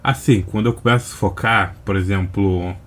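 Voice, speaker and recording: A man's voice: low-pitched, steady tone, normal speed, fairly bright tone. A man with a Brazilian accent giving casual commentary as the host of a reaction video.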